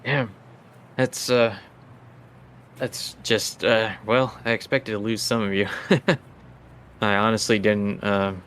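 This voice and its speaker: A man playing a laid-back older uncle from a crime family in a tabletop game, terse and unhurried. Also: fairly low pitch, fairly bright tone, slight background noise.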